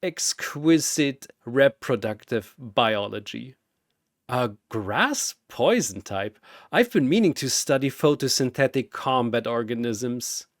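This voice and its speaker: A man voicing a sinister mad scientist in a story, soft, clinical and coldly fascinated. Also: mid-range voice, expressive, slow, no room sound, studio quality. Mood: clinical fascination.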